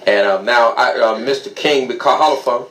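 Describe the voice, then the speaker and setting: Radio host in an echoey room. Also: male voice, mid-range voice, moderate pace, a bit bright, slight background noise. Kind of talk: radio talk show hosting.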